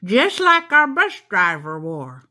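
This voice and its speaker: A woman's voice: medium pitch, wildly swooping pitch, takes it slowly, pushed and bright, heavily acted. A woman voicing an affectionate, easily flustered old grandfather from a children's cartoon story, with emphatic exclamations. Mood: fond.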